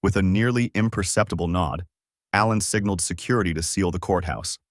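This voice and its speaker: A man's voice: sits low, fairly quick, dry recording, studio quality. A man narrating a scripted story in a dramatic, solemn, suspenseful way.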